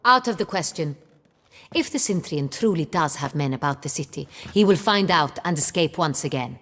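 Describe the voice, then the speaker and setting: Low-voiced imperious duchess in a hall. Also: female voice, lively intonation, normal speed, fairly bright tone. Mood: firm. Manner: formal, imperious. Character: commanding, refined noble duchess, decisive ruler. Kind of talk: scripted video game dialogue. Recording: long hall reverb.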